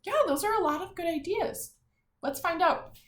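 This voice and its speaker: A woman teacher giving a read-aloud vocabulary lesson to young children, enunciating deliberately, repeating phrases and putting questions to her listeners. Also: extremely high pitch, animated. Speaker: primary school teacher. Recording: echoey room.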